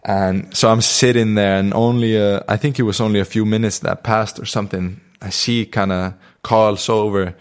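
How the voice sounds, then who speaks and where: A man's voice: fairly low pitch, fairly even intonation, normal speed, few pauses, clear, resonant tone. A young man with an Icelandic accent tells a personal story in a reflective, spiritual way, with many fillers and restarts.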